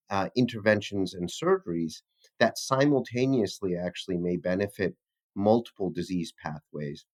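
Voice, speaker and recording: Male medical expert for interview answers; fairly low voice, measured and formal explanations. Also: darkish tone. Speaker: physician researcher, interview guest.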